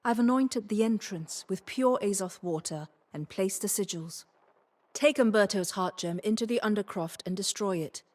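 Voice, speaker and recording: Woman acting a young game occult expert, determined, practical, earnest, medium pitch. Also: normal speed, darkish tone, lightly acted. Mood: earnest, resolute. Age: young adult. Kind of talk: video game character dialogue.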